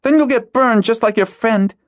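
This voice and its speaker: A frustrated young girl pleads in a high, drawn-out voice, as a male audiobook narrator puts her on. Her pitch swings wildly, leaping toward a shriek. The sound is dry and close. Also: sing-song, no echo.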